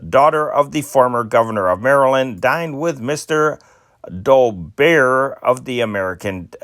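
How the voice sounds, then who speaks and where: A man at a middle pitch reads old newspaper stories aloud in a dry, close recording with no room sound. His delivery is amateur and halting, tripping over names and backing up to fix them. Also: a bit bright, no echo. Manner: halting, stumbles on names and corrects himself; amateur, non-professional delivery.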